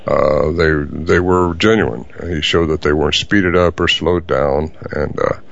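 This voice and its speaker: An older man answering interview questions in a halting way, with many fillers. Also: rumbling low, steady tone, gravelly. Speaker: guest. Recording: dull lo-fi audio.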